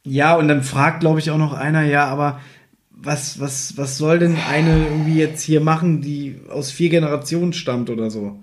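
Guy on a podcast, voice a bit high, just chatting and picking a story apart. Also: moderate pace. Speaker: podcast co-host. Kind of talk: casual chat, retelling and critiquing a story.

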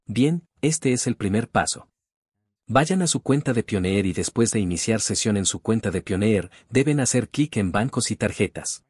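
A man with a low, slightly husky voice talks viewers through a screen-recording tutorial. He speaks casually, repeats himself, and keeps calling the audience "guys". The recording is close and dry. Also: animated, normal speed, darkish tone, dry recording. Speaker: tutorial host.

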